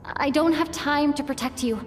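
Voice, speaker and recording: A woman's voice: high voice, normal speed, close to an everyday voice. A woman voicing a determined, earnest young female traveler in video game cutscenes, with a youthful, urgent delivery. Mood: worried, grateful. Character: determined young female traveler searching for her kidnapped companion.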